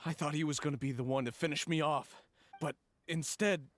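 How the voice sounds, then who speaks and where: A man's voice: on the high side, fairly even intonation, a little fast, dry recording, lightly acted. A man playing a timid, shaken merchant in a video game, talking in an anxious, cautioning way.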